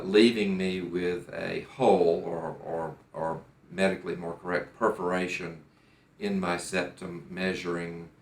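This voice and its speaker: A very low-voiced American man gives a patient's testimonial in a deliberate, careful way and often circles back to restart a thought. He is recorded in a doctor's office, and the room sounds noticeably echoey. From United States. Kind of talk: personal testimonial.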